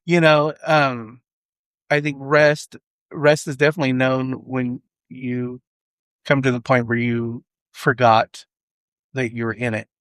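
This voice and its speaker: A man with a slight accent talking earnestly about faith in a casual podcast, halting and restarting as he thinks. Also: mid-range voice, level tone, fairly bright tone, clear, resonant tone, dry recording. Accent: non-native English.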